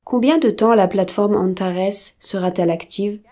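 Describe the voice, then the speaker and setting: Woman interviewer, warm mellow voice, asks questions nice and measured, close mic. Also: mid-range voice. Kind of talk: French voice-over of an interview.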